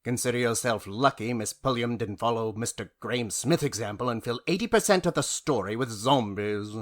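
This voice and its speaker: A man playing a grave gentleman storyteller in an audio drama, speaking in a formal, theatrical way. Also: medium pitch, brisk, lightly acted. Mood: insistent.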